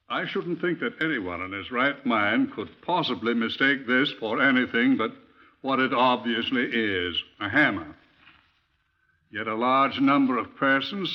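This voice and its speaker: Older British museum-curator inspector, mid-pitched, conversational and wry, dull vintage radio recording. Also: male voice. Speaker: host. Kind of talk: 1950s radio drama storytelling.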